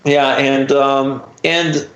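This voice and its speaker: An American man chatting casually as a podcast guest, speaking in halting phrases with drawn-out hesitations. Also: medium pitch, flat delivery, a bit bright, echoey room, muffled recording. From Florida, United States. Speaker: podcast guest, audio drama writer. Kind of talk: casual interview chat.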